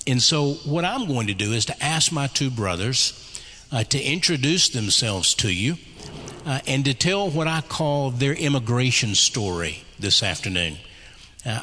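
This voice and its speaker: A man hosting a church panel, speaking in a measured way with frequent fillers. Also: medium pitch, pushed and bright. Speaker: host and moderator. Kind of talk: event introduction. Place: chapel on stage. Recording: long reverb of a chapel.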